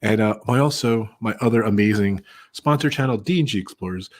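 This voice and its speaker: A man's voice: on the low side, fairly quick, a little hiss or hum. A man hosting a casual livestream hangout, chatting in an easygoing, rambling way with plenty of fillers over a video call mic. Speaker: host.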